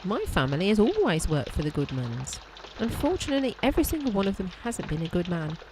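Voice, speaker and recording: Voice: medium pitch, very animated, fast, background noise. A performer playing a mistreated, bitter, plaintive servant maid in an audio drama.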